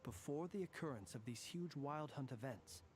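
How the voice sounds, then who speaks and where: A man voicing a calm, serious young investigator in a game, speaking in a measured, matter-of-fact way. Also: medium pitch, slight background noise, close to an everyday voice. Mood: composed. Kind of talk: game voice acting.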